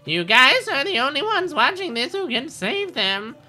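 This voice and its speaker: A woman's voice: high voice, sharp tone, pitched above the performer's own voice, heavily acted. A woman voicing a mischievous villainous bear mascot from a video game, teasing and gleeful.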